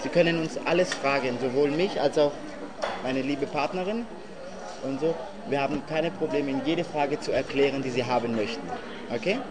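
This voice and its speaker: A man's voice: medium pitch, normal speed. A man welcoming a visiting group in an informal, friendly way. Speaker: local host greeting visitors. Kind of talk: welcoming address. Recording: voice among a crowd.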